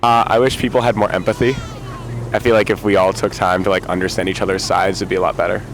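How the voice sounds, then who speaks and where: Low-voiced young male student. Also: level tone, quick pace, crowd noise. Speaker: first-year student. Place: outdoors on a campus.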